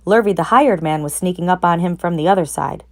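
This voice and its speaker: A woman with a fairly low-pitched voice reads a children's story aloud. She narrates expressively, as a storyteller. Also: a little fast, a little mellow. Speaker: narrator, read-aloud reader.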